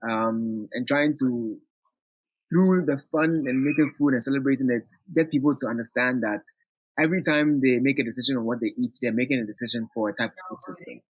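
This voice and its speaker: Man from Ghana, interview guest, thoughtful, pausing, dry close sound. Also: mid-range voice, husky, no echo, poor audio. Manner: thoughtful, hesitant with fillers, relaxed. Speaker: guest, food movement director. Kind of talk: casual interview.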